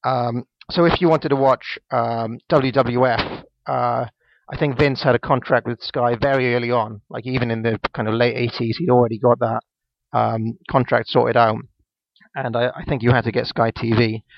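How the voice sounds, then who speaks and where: A man's voice: medium pitch, steady tone, normal speed, gravelly, dry recording. A Welsh man in his late twenties co-hosting a casual podcast chat, hesitant and full of ums and restarts. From Wales. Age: 20s. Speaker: podcast co-host. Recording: dull lo-fi dry sound.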